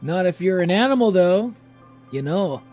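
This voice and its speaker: A man livestreaming a video game, chatting casually and haltingly with viewers, with informal, sweary speech. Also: high voice, lively intonation, a little mellow. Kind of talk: casual chat with stream viewers. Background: game music.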